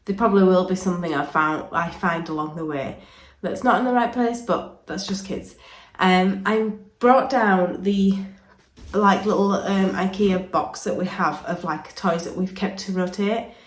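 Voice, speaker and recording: A woman with a cold, chatting casually through a home vlog and filling pauses with frequent ums. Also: fairly low pitch, steady tone. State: full of cold, sore throat. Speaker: vlogger. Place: indoors, home playroom. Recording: echoey room.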